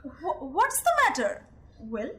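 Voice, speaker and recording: A woman's voice: high voice, sing-song, slow pace, a bit bright, heavily acted. A young Indian-accented woman playing a stubborn, indignant landowner's daughter in an amateur audio drama, arguing emphatically. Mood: curious. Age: young adult. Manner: argumentative, emphatic, repeating words. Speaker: student actor.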